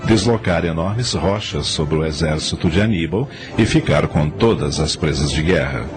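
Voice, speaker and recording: A man's voice: very deep voice, normal speed, over music. A man narrating a radio drama in a scripted, storytelling style.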